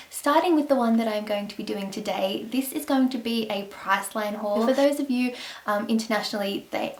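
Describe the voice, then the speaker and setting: Croaky Australian woman in an echoey room. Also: fairly high pitch. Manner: chatty, enthusiastic product rundown. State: croaky from the flu. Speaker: beauty vlogger. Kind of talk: casual haul vlog.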